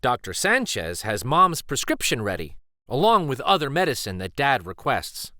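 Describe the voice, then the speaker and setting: A man reading a children's picture book aloud with expressive, deliberate storytelling delivery. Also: medium pitch, dry recording, studio quality.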